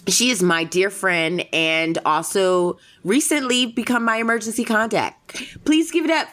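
A woman's voice: medium pitch, unhurried, a bit bright. A woman hosting a podcast, chatting casually in a playful, teasing way. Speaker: podcast host.

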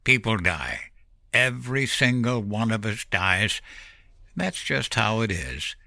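A man voicing a dying old uncle who talks bluntly and gruffly, in an audiobook story. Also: on the low side, animated, slow pace, pushed and bright, no room sound, clean studio sound, heavily acted. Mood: irritated. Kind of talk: audiobook character voice.

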